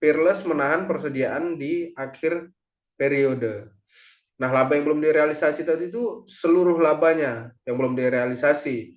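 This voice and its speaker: A man explains material step by step as a presenter, in a halting way with self-corrections. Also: a little high. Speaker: accounting lecturer or presenter. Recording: echoey, reverberant room sound.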